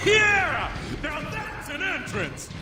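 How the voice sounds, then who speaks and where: An actor playing a brash, excitable young robot warrior in a video game, boisterous and bragging. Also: male voice, extremely high pitch, slow, full character voice. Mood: cocky, thrilled. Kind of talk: cartoonish video game voice acting. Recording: voice under loud music and machinery.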